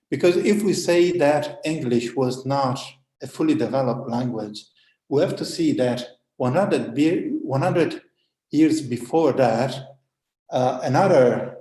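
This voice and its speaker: A man's voice: medium pitch, warm tone. Italian-native man speaking in an echoey room. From Italy. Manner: hesitant, many fillers and restarts. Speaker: conference panelist. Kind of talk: conference talk, online panel.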